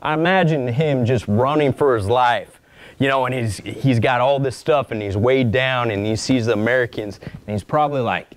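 A man's voice: mid-range voice, moderate pace, no echo. A man in his forties giving a casual lecture as an archivist, talking with slides in a lecture room and acting out little asides now and then. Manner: conversational, acts out little quoted asides; calls his own voice monotone. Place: mid-size lecture room, indoors.

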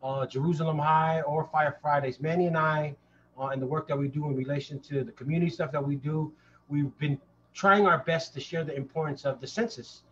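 A man's voice: fairly high pitch, fairly even intonation, a little fast, noisy background. A man in his thirties hosting a casual live show and interviewing a guest over a video call. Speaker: podcast host. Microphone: podcast mic with headset. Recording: slightly dull video-call audio.

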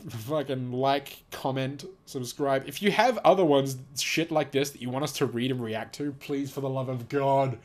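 Guy reading stuff out loud and reacting, swears a lot, cracking up, mock serious. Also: medium pitch, normal speed, few pauses. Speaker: host. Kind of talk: casual reading aloud and reacting.

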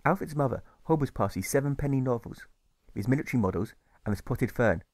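A man narrating a rhyming novel as an audiobook, in measured storytelling that leans on the rhymes. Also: medium pitch, animated, a little mellow, dry recording. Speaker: author narrating his audiobook.

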